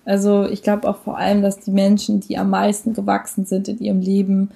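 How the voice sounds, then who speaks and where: A woman's voice: medium pitch, completely flat delivery, brisk, gravelly, mellow, husky. A young woman talking casually as a podcast guest, slangy and correcting her own wording as she goes.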